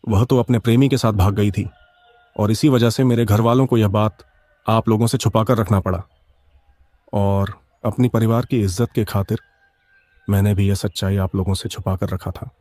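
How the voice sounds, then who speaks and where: A man's voice: on the low side, steady tone, moderate pace, mellow, no room sound, close to an everyday voice. A man voicing a hot-tempered, deceitful young husband in a cartoon story. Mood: earnest, explaining.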